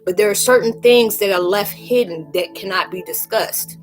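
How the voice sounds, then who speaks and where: A woman hosting a casual spiritual chat in a rambling, animated way. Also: mid-range voice, normal speed, soft background music. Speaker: live stream host.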